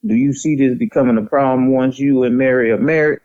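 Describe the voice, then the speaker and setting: A man playing a caring, measured father advising his grown son in an audio drama, on a dull lo-fi recording. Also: medium pitch, fairly even intonation, dry recording, close to an everyday voice.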